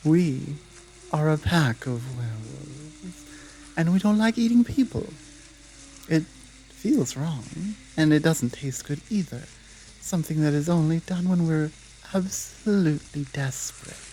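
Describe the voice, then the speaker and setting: Woman acting as a female werewolf alpha, menacing, commanding, taunting, mellow dark tone, unhurried delivery, faint background noise, ASMR audio drama. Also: low voice, rough recording. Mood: exasperated, commanding. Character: dominant, menacing female werewolf pack leader guarding her home.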